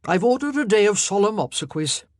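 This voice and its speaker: A woman's voice: medium pitch, very animated, fairly quick, darkish tone, no echo, pitched above the performer's own voice, natural voice. A woman voicing a self-pitying middle-aged king, soothing one moment and icy the next, in a dry, close recording. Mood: regal.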